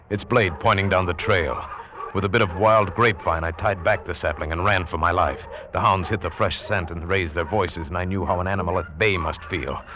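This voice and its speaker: An actor playing a hunted, desperate but determined American adventurer in a tense 1940s radio drama. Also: male voice, deep voice, natural voice. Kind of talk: 1940s radio acting, first-person dramatic narration. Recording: muffled, hissy 1940s radio recording.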